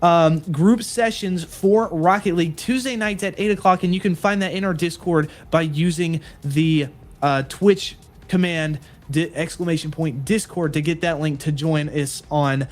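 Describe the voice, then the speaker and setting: A man's voice: fairly high pitch, a little slow. Upbeat young-sounding male esports caster. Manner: earnest, repetitive hype talk with fillers. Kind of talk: live esports commentary.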